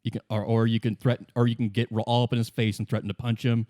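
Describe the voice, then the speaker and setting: Halting, informal male game master. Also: mid-range voice, level tone, warm tone, dry recording, clean studio sound. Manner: informal, halting, rephrasing as he goes. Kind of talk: casual improvised tabletop game narration.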